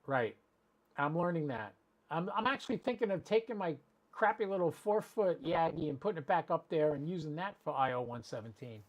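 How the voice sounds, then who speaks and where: A man's voice: a little high, animated, a little fast, lo-fi sound. Chatty man hosting a casual livestream. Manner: chatty, rambling, addressing viewers by name. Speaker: amateur radio livestream host.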